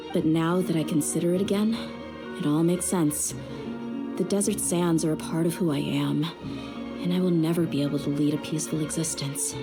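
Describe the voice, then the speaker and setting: A woman's voice: on the low side, natural voice. A woman playing a tough, candid young desert mercenary in a video game, speaking frankly and reflectively.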